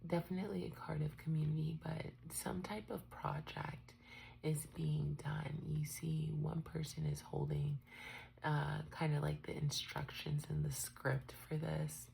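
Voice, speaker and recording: A woman with a stuffed-up cold, doing a tarot reading in a soft, often half-whispered, musing way. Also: sits low, flat delivery, slow pace, gravelly, a little hiss or hum. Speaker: tarot reader. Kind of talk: unscripted tarot reading for viewers.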